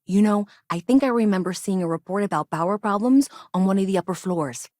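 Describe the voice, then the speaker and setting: A woman's voice: mid-range voice, fairly quick, darkish tone, dry recording, clean studio sound, close to an everyday voice. A woman playing an overworked, earnest, slightly anxious technician in a video game, talking in a conversational, hesitant and wry way. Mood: businesslike, helpful. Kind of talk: video game dialogue acting.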